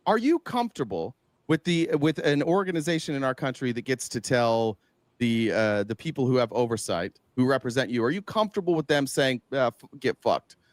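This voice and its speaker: A man's voice: mid-range voice, lively intonation, a little fast, dry recording. A man giving casual political commentary, emphatic, throwing out rhetorical questions and swearing freely.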